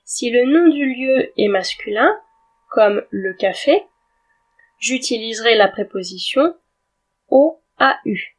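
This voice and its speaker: A woman gives a grammar lesson at a slow, careful pace. Her voice sits a little high, and she speaks clearly and deliberately, spelling words out letter by letter. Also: animated. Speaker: French teacher.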